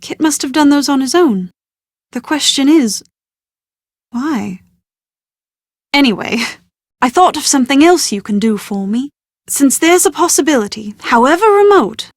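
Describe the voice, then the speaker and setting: An anxious young British bride-to-be in a mystery video game. Her voice sits fairly high, and she speaks with genteel, articulate earnestness and a touch of drama. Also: no room sound, studio quality. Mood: composed, resolute. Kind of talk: scripted video game voice acting.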